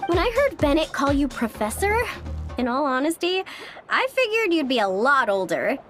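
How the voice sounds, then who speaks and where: A woman's voice: high-pitched, sing-song, moderate pace, a bit bright, soft background music. A woman playing a bubbly, friendly young female adventurer in an anime game, chirpy and casual. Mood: amazed.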